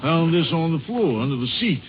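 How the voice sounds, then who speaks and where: An actor playing a practical, matter-of-fact police chief in an old radio drama, speaking in plain, clipped phrases. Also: male voice, a little high, big pitch swings, brisk. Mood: brisk. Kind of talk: 1940s-50s radio drama acting. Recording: dull old radio recording, faint hiss.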